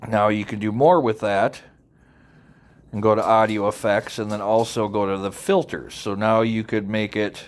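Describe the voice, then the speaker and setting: A man narrating an unscripted software tutorial, informally walking the listener through it step by step. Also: on the low side, little pitch movement, moderate pace. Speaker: tutorial presenter.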